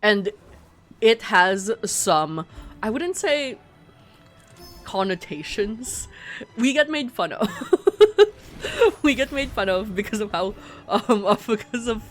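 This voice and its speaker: A woman's voice: mid-range voice, animated, slow pace, fairly bright tone. A woman with a bougie Filipino English accent talks in a mid-range voice over game music. She is a talkative, playful streamer who often breaks off and restarts her thoughts while chatting with viewers. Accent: middle-class Filipino English. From Philippines. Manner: talkative, self-interrupting, playful. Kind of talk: casual chat to viewers while gaming. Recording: music playing behind the voice.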